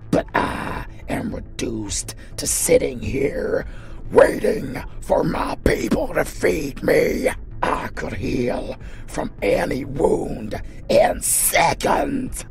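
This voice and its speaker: A man voicing a bitter, imperious crippled vampire lord in a dark fantasy audio roleplay, in a menacing, rasping whisper. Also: on the high side, full character voice. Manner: menacing, theatrical.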